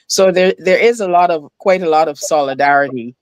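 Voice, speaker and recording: A woman's voice: lowish voice, fairly quick, no echo. A woman from Barbados talks casually as a guest over a video call. She talks with lots of fillers and restarts. The sound is dry and close. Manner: hesitant, many fillers. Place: home in the US.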